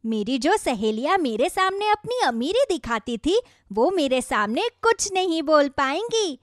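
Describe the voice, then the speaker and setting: A woman's voice: sits high, very animated, unhurried, no room sound, studio quality. A woman voicing a demanding, boastful young village wife in a children's moral story. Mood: gleeful, boastful.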